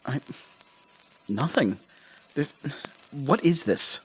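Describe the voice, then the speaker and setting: An actor playing a troubled, defensive investigator in a horror audio drama, halting, rambling and confessional. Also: male voice, fairly high pitch, expressive, background noise. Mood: nervous, defensive. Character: troubled, defensive male investigator recounting his case.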